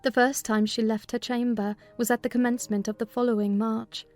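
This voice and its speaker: A woman's voice: a little high, little pitch movement, a little fast, a little mellow, soft background music. A woman reading scripted audiobook narration of a classic novel.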